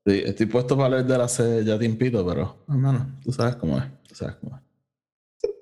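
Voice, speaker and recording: A man's voice: mid-range voice, normal speed, dark tone. A man with a Puerto Rican Spanish accent hosting a podcast in casual chat, informally mixing in English words. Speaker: podcast host.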